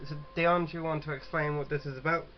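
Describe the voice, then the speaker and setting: A man's voice: fairly high pitch, little pitch movement. Guy doing a casual lets play, stumbly reading and chatting, muffled mic w some background noise. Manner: stumbling, halting when reading aloud. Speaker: gaming channel host. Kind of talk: casual let's-play chat and halting read-aloud of a visual novel.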